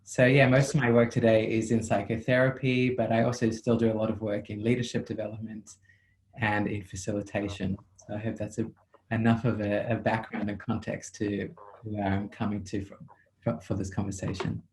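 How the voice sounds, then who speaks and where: South African male therapist, level low delivery, measured and reflective, video call audio. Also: little pitch movement, poor audio. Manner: measured, reflective, with frequent ums. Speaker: psychotherapist. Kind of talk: thoughtful interview answers.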